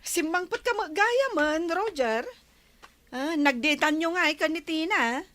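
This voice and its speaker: A woman's voice: extremely high pitch, a little slow, heavily acted. A woman playing a bossy, protective older sister, chatty and nagging, with an Ilocano Filipino accent in a comedy radio drama. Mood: prying. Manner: animated, nagging, forceful.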